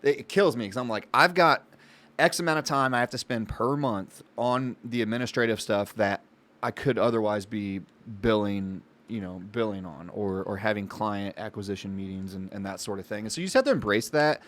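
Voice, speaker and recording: Slightly low-voiced man chatting reflectively. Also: a little fast, a bit bright. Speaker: podcast co-host and business owner. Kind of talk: casual conversational podcast talk.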